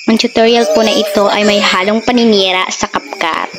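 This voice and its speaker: A woman's voice: mid-range voice, steady tone, normal speed, over music. A woman with a Filipino accent hosting a casual app tutorial, giving informal step-by-step instructions. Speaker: host of a video tutorial channel.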